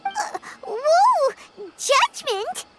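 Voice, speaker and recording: A woman voicing a tiny, childlike, excitable fairy companion in a video game, with a squeaky voice and bubbly, exclaiming manner. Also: very slow, heavily acted. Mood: startled, evasive. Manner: bubbly, reacts with exclamations, third-person self-reference. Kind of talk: video game character dialogue. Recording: game music behind voice.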